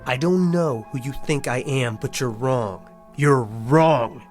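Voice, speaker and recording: An actor playing a bewildered, desperate American husband in a radio drama, pleading and halting in an agitated way. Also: male voice, mid-range voice, faint music behind, natural voice. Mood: insistent. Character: bewildered, desperate husband insisting on his identity.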